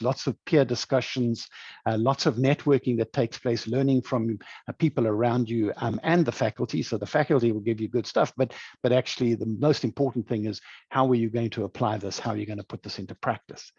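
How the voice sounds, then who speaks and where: A man's voice: medium pitch, darkish tone, slightly rough, no echo. A middle-aged man from South Africa with a South African accent, presenting a webinar as a program director in an earnest, emphatic way with many restarts, over a video call. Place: home or office video call. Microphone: video call mic. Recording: dull lo-fi audio, dry.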